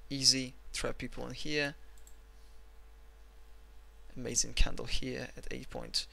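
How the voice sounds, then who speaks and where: A man with a Russian accent walks through market charts at his desk, rambling and self-mocking. His voice is gravelly and bright, recorded on a desk mic. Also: mid-range voice, little pitch movement, slow pace, slight background noise. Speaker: trading analyst. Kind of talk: screen-recorded chart analysis.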